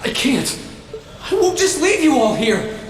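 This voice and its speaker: An actor playing an earnest, stubborn young student hero and knight pilot in a video game cutscene. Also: male voice, very high-pitched, big pitch swings, unhurried, a little hiss or hum, heavily acted. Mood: desperate. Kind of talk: English dub video game cutscene acting. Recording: roomy echo, faint music behind.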